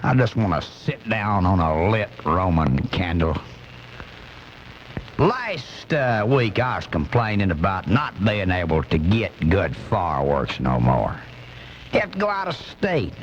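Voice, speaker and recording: A man's voice: low voice, big pitch swings, measured pace, a little hiss or hum. A man playing an angry, blustering redneck commentator in a comic radio monologue, ranting in folksy, ungrammatical indignation. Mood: indignant, ranting. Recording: muffled off-air cassette radio recording, music.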